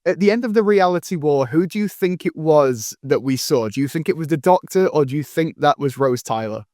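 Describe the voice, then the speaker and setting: A man's voice: fairly high pitch, lively intonation, fairly quick, a little mellow, no room sound. Eager man interviewing, dry close sound. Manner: eager, effusive. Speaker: interviewer, host. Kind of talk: casual fan interview.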